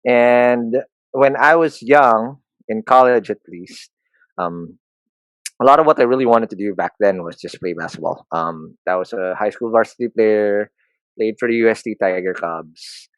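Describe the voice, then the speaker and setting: A man's voice: lowish voice, normal speed, clean tone, dry recording, low-quality recording. A man in his thirties with a Filipino accent, a podcast guest talking candidly and joking about himself. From Philippines. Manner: self-deprecating, joking, frequent restarts. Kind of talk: candid conversational interview.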